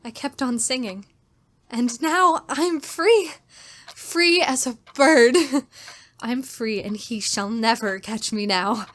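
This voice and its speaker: A woman's voice: on the high side, slow pace, a bit bright. An actress playing a fearful, unhinged woman in a theatrical radio horror drama. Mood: gloating, unhinged. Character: fearful, unhinged woman diarist who killed her brother-in-law. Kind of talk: old-time radio horror drama acting.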